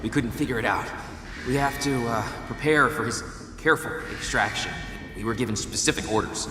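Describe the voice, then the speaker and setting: An actor playing a nervous, starstruck scientist in a video game, stammering and halting with meek deference. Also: male voice, mid-range voice, natural voice. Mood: anxious, apologetic. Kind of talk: video game character acting. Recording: echoey large hall, loud music.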